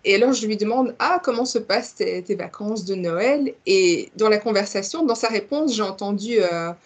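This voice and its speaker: A woman co-hosting a podcast, telling a story in a lively, chatty way with many fillers. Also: mid-range voice, moderate pace, slightly rough. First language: multilingual, grew up in Congo and Belgium. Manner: animated storyteller, frequent fillers, laughs easily. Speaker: podcast co-host. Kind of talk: casual chat, telling an anecdote.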